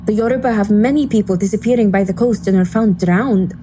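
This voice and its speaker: A woman's voice: medium pitch, fairly quick, natural voice. A woman playing a serious, blunt covert agency officer briefing a team in an audio drama. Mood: grave, firm. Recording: clear background noise and ambience.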